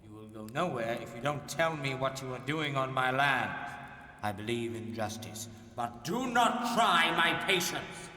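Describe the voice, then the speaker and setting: A man's voice: medium pitch, lively intonation, a little slow, sharp tone. An actor playing a stern, commanding king with formal speech. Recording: echoey room, from a distance.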